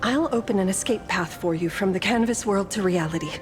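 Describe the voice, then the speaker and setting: A woman's voice: medium pitch, a little fast, close to an everyday voice. A woman voicing a composed, protective fighter in a game, speaking with resolve. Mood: resolute. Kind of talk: scripted game voice acting. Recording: background music under the voice. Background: music.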